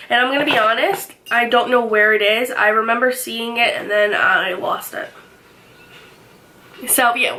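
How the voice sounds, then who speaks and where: A young woman vlogging from her bedroom, chatty and upbeat, her voice a little raspy from a cold. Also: on the high side, sharp tone. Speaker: beauty vlogger. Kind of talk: casual unboxing chat. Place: bedroom, indoors.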